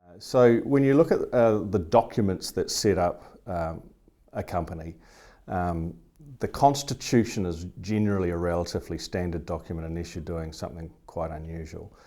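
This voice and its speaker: A man giving plain-spoken, explanatory business advice, feeling his way through phrases in a measured manner. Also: deep voice, warm tone. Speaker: business law adviser. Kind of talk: informal explanatory advice talk.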